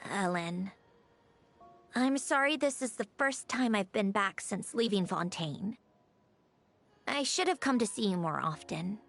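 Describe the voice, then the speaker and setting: A woman's voice: a little high, gravelly. An actress voicing a proud, prickly female automaton scholar who speaks in a curt, dry manner. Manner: curt and dry, softening to sincere. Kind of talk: game voice acting.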